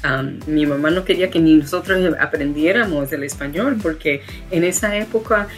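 A woman's voice: low-pitched, normal speed, faint music behind. A woman from the United States with an American accent, a guest in an interview who talks with many fillers and restarts. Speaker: guest, education founder. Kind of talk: interview conversation.

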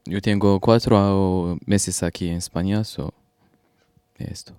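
A man, a guest speaking haltingly as a beginner at a studio microphone. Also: low-pitched, steady tone, a little mellow, clean tone, no echo. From Algeria. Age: 20s.

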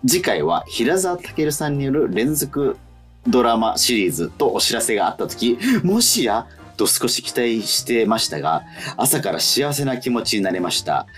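A man hosting a radio show in casual, polite and expressive talk, reading out listener letters. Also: mid-range voice, moderate pace, soft background music.

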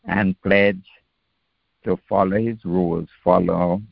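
Slow, gravelly male senior pastor, phone-like audio. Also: deep voice, steady tone, no echo. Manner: deliberate, measured, instructive. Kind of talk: church Bible teaching. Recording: tinny phone-like dry audio.

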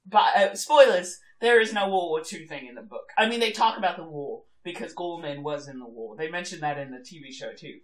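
A woman's voice: low voice, lively intonation, fairly quick, few pauses, a crowd in the background. A woman co-hosting a podcast, talking frankly about a book and its TV adaptation, laughing often. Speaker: podcast co-host. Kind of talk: casual book discussion chat. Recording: roomy echo.

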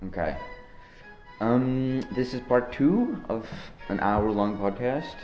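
A teenage boy from Norway hosting a joking school podcast, talking in deadpan, slangy banter. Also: mid-range voice, slow, roomy sound, faint music behind. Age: teens. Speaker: podcast host. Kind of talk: joking student podcast interview.

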